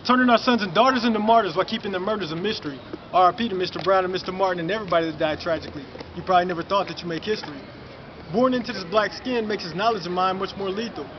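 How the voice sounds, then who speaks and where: A young man delivers rhyming spoken word poetry in a quick, high, driving voice. He is recorded outside on a city street, and the sound is dull with clear traffic noise behind him. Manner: rhythmic, rhyming, building to forceful delivery. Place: outdoors in front of a city mural.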